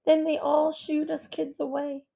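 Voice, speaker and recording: A small boy, trembling and tearful, speaks in a high, unsteady voice, as a woman reading aloud voices him. The sound is mellow and soft-edged. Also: flat delivery, creaky. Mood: shaken. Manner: shaky, halting. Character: shaken young boy, crying.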